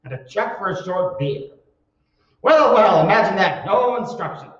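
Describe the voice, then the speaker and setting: Rambling flowery swindler acted by a man for radio comedy; highish voice in a reverberant hall. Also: expressive, moderate pace. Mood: muttering, rambling. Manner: flowery, rambling, muttering to himself. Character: shady, flowery-talking old-time con man, jovially muttering. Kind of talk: old-time radio comedy acting. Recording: echoey hall, distant mic, dull sound.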